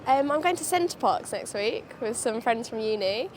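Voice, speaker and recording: A woman's voice: fairly high pitch, lively intonation, normal speed, crowd noise. A young woman in her twenties who chats in an easygoing way, with fillers between thoughts. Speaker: passer-by interviewee. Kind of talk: casual chat.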